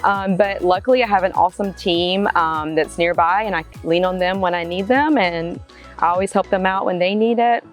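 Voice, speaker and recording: A woman's voice: on the low side, normal speed, soft background music. A woman in her thirties with a Southern drawl, a realtor answering interview questions in a casual, friendly way with many fillers.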